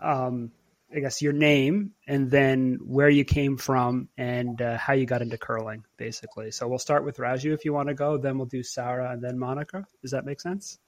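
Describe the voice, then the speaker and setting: A man's voice: medium pitch, flat delivery, moderate pace. A man hosting a podcast, talking thoughtfully in a casual way with many fillers and restarts. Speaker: podcast host. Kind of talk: casual conversational podcast talk.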